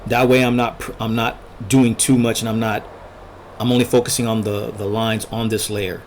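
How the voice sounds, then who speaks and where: Male art instructor, low gravelly voice slightly tired from talking, informal think-aloud delivery over faint background noise. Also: flat delivery. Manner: unhurried, thinking aloud in short fragments. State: losing his voice, throat-clearing. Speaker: art tutorial host, illustrator. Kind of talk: casual drawing tutorial narrated while sketching.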